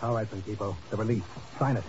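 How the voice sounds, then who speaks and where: An actor playing a cool, tough, commanding secret agent who speaks tersely and dryly in a 1950s radio drama. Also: male voice, on the low side, fast talker, natural voice. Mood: cool, pressing. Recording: muffled, hissy old radio recording.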